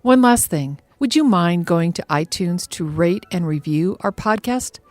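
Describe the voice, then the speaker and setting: A woman's voice: fairly low pitch, expressive, measured pace, darkish tone, no echo, faint music behind. An American woman hosting a podcast in a conversational, organized and practical way, addressing listeners directly. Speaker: podcast host.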